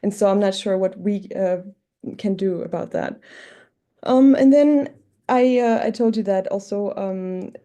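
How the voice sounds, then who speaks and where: A woman's voice: medium pitch, dark tone. A woman from Germany with a German accent, giving an informal academic talk in a reflective, hesitant, self-correcting way. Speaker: multilingual scholar presenting at a book talk.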